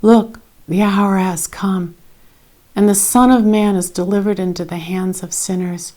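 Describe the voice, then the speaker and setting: A woman voicing a sorrowful, resolute Jesus in a dramatized scripture reading, solemn, measured and often hushed. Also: mid-range voice, fairly even intonation, lightly acted. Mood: grave, urgent.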